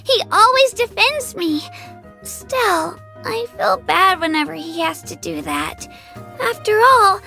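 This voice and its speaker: A woman's voice: extremely high pitch, pushed and bright, full character voice. A woman playing an earnest, timid but determined young girl adventurer in a video game, with a sweet childlike voice. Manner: earnest, self-doubting, eager to please. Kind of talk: English video game character voice lines.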